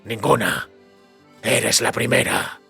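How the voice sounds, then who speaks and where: An actor playing a dry, sardonic monster hunter in an audiobook drama, gravelly, laconic and deadpan. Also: male voice, mid-range voice, slow, heavily acted. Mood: deadpan, flirting. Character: dry, sardonic male witcher, weathered monster hunter and lover. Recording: music behind the voice.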